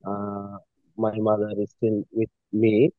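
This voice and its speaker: Man, 30s, from Bangladesh, polite, hesitant, slow, phone-line sound. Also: fairly low pitch, monotone, dry recording. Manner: halting, many fillers, polite "sir". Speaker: student. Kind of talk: self-introduction in class. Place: in a car.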